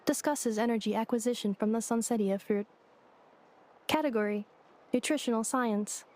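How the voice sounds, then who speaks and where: A woman voicing an earnest, literal robot maid in a video game, speaking in a measured, formal, matter-of-fact way over music. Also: on the high side, darkish tone, close to an everyday voice. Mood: methodical. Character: female robot maid with memory loss, earnest, literal, placid.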